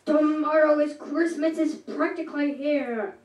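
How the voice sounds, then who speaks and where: A woman voicing a sneering, scheming male Grinch in a school shadow puppet show, snarling loudly. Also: sits high, unhurried, voice pushed up. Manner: snarling, loud. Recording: echoey room from far off.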